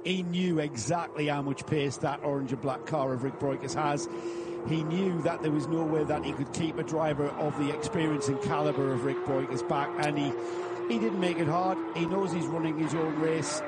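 A man giving live motorsport commentary in a conversational, descriptive way. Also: fairly high pitch. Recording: clear engine and vehicle noise behind.

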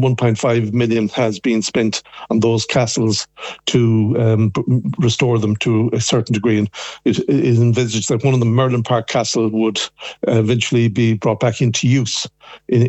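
An Irish man talking over the phone about his record in local politics, halting often with ums and restarts. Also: mid-range voice, darkish tone, dry recording. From Ireland. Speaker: councillor guest on the phone. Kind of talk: phone interview. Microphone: phone line.

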